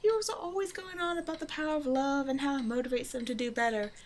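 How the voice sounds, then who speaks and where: A woman's voice: sits high, quick pace, close to an everyday voice. Flirty, self-assured villainess, acted by a woman, noisy background. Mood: teasing, flirtatious. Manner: teasing, casual, self-assured. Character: flirtatious female supervillain, a demanding but generous tailor's customer. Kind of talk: roleplay audio drama, intimate one-sided dialogue to listener.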